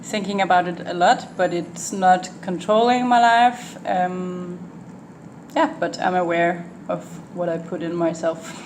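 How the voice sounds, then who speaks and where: A Danish woman in her twenties speaks English with a Danish accent and a fairly low voice. She answers interview questions thoughtfully, pausing with ums and restarting her sentences. A clear hum of background noise sits under the recording. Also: steady tone, a little mellow. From Denmark. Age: 20s. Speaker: interviewee, exchange student. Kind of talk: casual interview conversation.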